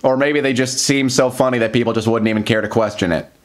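A man's voice: medium pitch, steady tone, fast. An American man in his thirties talking casually to the camera in a rambling, self-deprecating, confessional monologue. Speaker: vlogger. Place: small plain room indoors.

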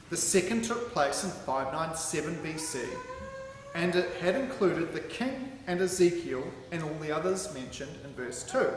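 A man with a high-pitched, lively voice reads out a sermon with deliberate, emphatic phrasing. He speaks at a stand microphone in a large hall, and the sound is very echoey with some background noise. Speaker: sermon reader. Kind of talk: sermon read aloud from a script. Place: large hall with curtained stage, indoors. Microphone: microphone on a stand at a lectern.

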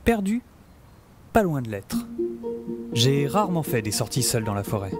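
A man acting a middle-aged scientist who has survived the apocalypse in an audio drama. He narrates his own story with animated swings of pitch, wry and a little self-important. Music plays clearly behind him. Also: moderate pace, lightly acted. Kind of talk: scripted first-person audio drama narration.

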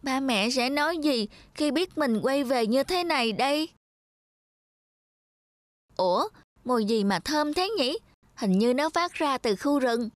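A woman's voice: sits high, animated, a little slow, long pauses, no room sound. A woman voicing an eager, easily scared little boy novice monk with a childlike, pleading manner in a cartoon fairy tale. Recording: music behind the voice.

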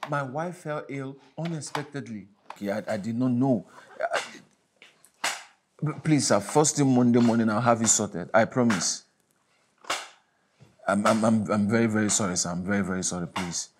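A man's voice: high-pitched, lively intonation, moderate pace, noisy background. A male actor with a Nigerian accent playing a harried, exasperated father in a screen drama, repeating himself as he pleads and scolds. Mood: anxious pleading. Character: harried, exasperated father struggling alone with his children. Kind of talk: naturalistic screen drama acting.

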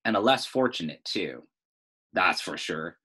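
A man reading the part of a plain-spoken preacher father in a storybook, firm but soft-hearted. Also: deep voice, expressive, unhurried, husky, full character voice. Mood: softening.

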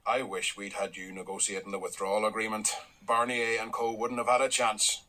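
An actor playing a blunt, wry middle-aged dairy farmer with a Northern Irish accent, in a radio drama. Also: male voice, fairly low pitch, flat delivery, a little fast, sharp tone. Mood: wry, stubborn.

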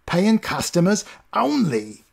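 A man's voice: huge pitch swings, slow, creaky, darkish tone, breathy edge, heavily acted. A sniveling doorman. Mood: grumpy. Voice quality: thick put-on accent, whiny. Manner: drawling, smug, squeaks when scared. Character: sniveling smug male doorman, petty gatekeeper.

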